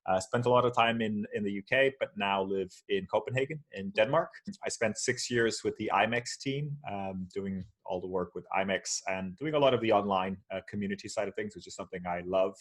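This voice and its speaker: A man's voice: on the low side, a little fast, few pauses. Man from Portugal, chatty and rambling. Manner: relaxed, rambling with many fillers and restarts. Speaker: guest. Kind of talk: casual video call chat. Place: shared office space. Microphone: video call.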